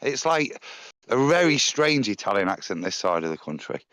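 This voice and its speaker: A man's voice: sing-song, normal speed, fairly bright tone, no room sound. A man with a Nottingham accent chatting casually on a live panel, telling rambling stories. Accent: Nottingham English. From England. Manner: chatty storyteller with broad pitch swings and impressions. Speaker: panel guest. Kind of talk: casual chat on a live stream.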